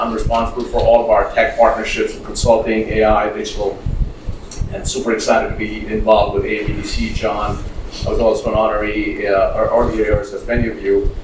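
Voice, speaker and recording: A man's voice: on the low side, monotone, fairly quick. A middle-aged man moderating a panel in an informal style. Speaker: panel moderator, consulting executive. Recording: echoey noisy room, distant mic.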